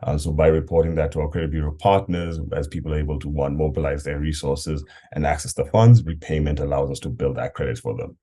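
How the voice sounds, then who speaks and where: A man's voice: rumbling low, steady tone, fast, hardly any pauses, dark tone. A young man from Kenya, a startup co-founder, explaining his company earnestly in a casual interview with many filler ums.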